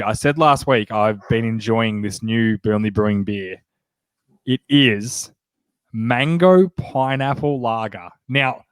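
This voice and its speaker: An Australian man in his thirties hosts a relaxed sports chat, his voice stuffy and nasal from a cold. He talks in a chatty, upbeat way, full of quick asides. The sound is dry and close, with no room in it. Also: medium pitch, no room sound. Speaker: podcast host.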